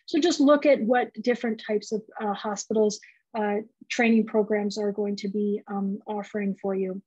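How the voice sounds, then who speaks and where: A woman's voice: mid-range voice, fairly even intonation, moderate pace, a little mellow, husky, dry recording, lo-fi sound. A middle-aged woman presenting a residency program on a video call, explaining with many fillers. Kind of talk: presenting slides in a virtual open house.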